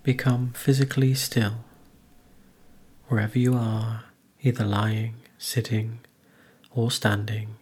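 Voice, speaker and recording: A man leading a guided meditation, speaking gently in measured phrases with long pauses. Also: lowish voice, little pitch movement, slightly rough. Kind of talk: guided meditation, soothing instruction.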